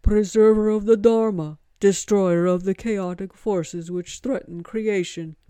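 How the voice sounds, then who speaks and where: A woman's voice: medium pitch, fairly even intonation, measured pace, warm tone, clear, resonant tone, no echo, lightly acted. A woman voicing an exuberant, devoted male monkey god who speaks with grand reverence. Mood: reverent.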